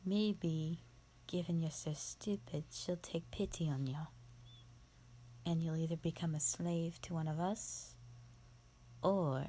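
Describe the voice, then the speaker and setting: A woman voicing a bored, contemptuous female vampire in an audio roleplay, with a breathy, creaky voice and a disdainful, formal drawl. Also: low voice, dark tone, a little hiss or hum, low-quality recording. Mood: scornful, condescending. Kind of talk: scripted roleplay monologue for the listener.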